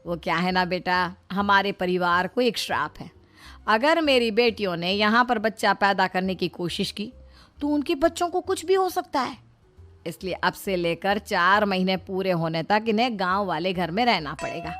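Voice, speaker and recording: A woman's voice: medium pitch, animated, normal speed, fairly bright tone, natural voice. A woman voicing a crafty, firm middle-aged mother-in-law in a cartoon story, coaxing and pleading by turns. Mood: earnest. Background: faint background music.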